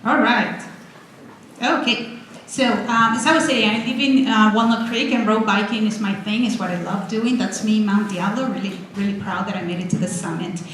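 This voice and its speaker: A woman in her forties from Venezuela, giving an energetic, chatty talk with slides as a guest speaker at a college. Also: mid-range voice, moderate pace. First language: Spanish. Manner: excited, chatty, many restarts. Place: college lecture room. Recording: echoey hall, faint noise.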